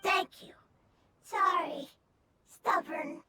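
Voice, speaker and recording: A woman voicing a small, earnest mushroom creature in a video game, squeaky and breathy, speaking in halting broken phrases. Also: bright, forceful tone, a little hiss or hum, exaggerated voice. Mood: earnest, grateful. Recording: game music underneath.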